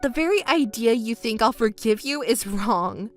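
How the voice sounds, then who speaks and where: A woman's voice: a little high, expressive, moderate pace, soft background music, lightly acted. A woman voicing a scornful, cutting young woman. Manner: cutting, scornful, sharp retorts.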